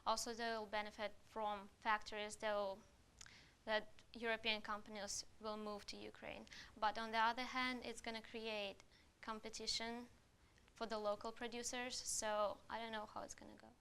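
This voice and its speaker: Young russian woman talking in a student news interview, kinda fry-ish voice, umms a lot. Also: fairly high pitch, little pitch movement, moderate pace, fairly bright tone. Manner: unpolished answers with hesitant fillers and restarts. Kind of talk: studio interview.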